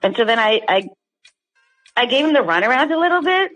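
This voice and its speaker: A woman's voice: on the high side, big pitch swings, fairly quick, a bit bright, faint music behind, low-quality recording. A woman calling in to a radio show, chatting casually in a hesitant, rambling way full of fillers. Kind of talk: casual chat.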